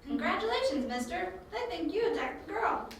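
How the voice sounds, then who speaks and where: A woman's voice: very high voice, big pitch swings, brisk, a crowd in the background. A woman acting a sassy, street-smart young woman in an amateur audio drama, sardonic and flippant. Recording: distant, very echoey room, faint noise.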